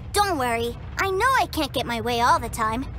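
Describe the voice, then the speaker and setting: A woman voicing an eager, trusting young boy who adores his big brother and talks in an excited, childlike way. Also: high voice, a little slow, heavily acted. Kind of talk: video game cutscene voice acting. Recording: game cutscene with loud score.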